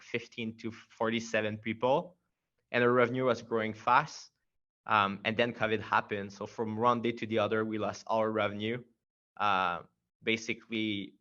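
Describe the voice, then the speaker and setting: A man's voice: on the low side, sharp tone, muffled recording. A man from Quebec with a strong Quebec French accent, a startup founder telling his story in a casual interview, rambling with many fillers and restarts.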